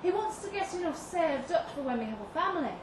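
A woman's voice: sits high, big pitch swings, a little fast, pitched above the performer's own voice. A woman voicing an anxious, pleading young wife of nineteen in a short story. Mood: defensive. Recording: roomy live venue, distant mic, noisy.

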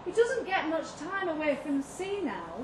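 A woman's voice: very high-pitched, sing-song, unhurried, pitched above the performer's own voice, full character voice. A woman voicing an anxious, pleading young wife of nineteen in a short story. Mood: defensive. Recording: roomy live venue, distant mic, noisy.

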